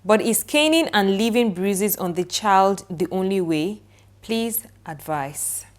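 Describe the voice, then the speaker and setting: A young woman co-hosting a podcast, calm and soft-spoken, who reads a listener's message aloud. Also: medium pitch, slow, slight background noise. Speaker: podcast co-host. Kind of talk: casual podcast chat.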